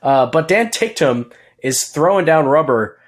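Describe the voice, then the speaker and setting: A man's voice: on the high side, lively intonation, a little slow. A man co-hosting a podcast, recapping racing in casual chat, rambling with many fillers and jokes. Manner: rambling, filler-heavy, joking and laughing. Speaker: podcast co-host.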